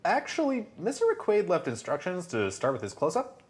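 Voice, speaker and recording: A woman's voice: fairly low pitch, huge pitch swings, moderate pace, darkish tone. A woman playing a deferential, hesitant film crew assistant in an audio drama.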